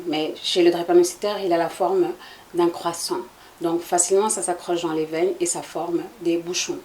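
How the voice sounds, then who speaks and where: A woman's voice: fairly low pitch, a little mellow, a little hiss or hum, poor audio. A woman from Congo in her forties, answering interview questions in a hesitant, explanatory way with many fillers. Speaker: guest, association president.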